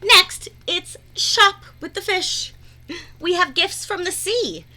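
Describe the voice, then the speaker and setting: A woman reading a rhyming children's picture book aloud in an expressive, deliberate, sing-song way. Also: very high-pitched, a little hiss or hum. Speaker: read-aloud storyteller.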